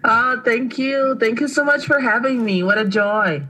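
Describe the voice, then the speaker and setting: A woman's voice: a little high, moderate pace, sharp tone, low-quality recording. A woman in her thirties from Brazil, speaking English with a Brazilian Portuguese accent, telling her life story earnestly as a radio interview guest. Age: 30s. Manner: earnest, heartfelt storytelling with frequent restarts. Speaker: guest, art studio owner.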